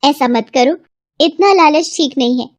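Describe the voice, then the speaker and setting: Lady playing a village farmer's wife, practical and naggy, high voice, dry cartoon dialogue. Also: a little slow, dry recording. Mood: scolding. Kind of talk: cartoon moral story dialogue.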